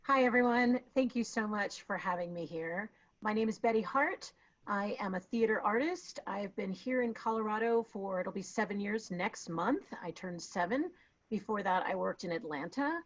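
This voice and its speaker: A woman's voice: medium pitch, normal speed, no room sound. Upbeat woman, dry dull recording. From Miami. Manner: upbeat, lively and expressive. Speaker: theater director and actor panelist. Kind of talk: casual self-introduction on a panel.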